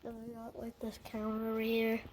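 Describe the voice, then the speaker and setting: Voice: medium pitch, completely flat delivery, a little fast. A casual, rambling amateur vlogger speaking informally inside a house, with the voice of a woman or youngster as heard. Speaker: amateur video creator. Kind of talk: casual handheld vlog with improvised skit. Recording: noisy amateur home recording.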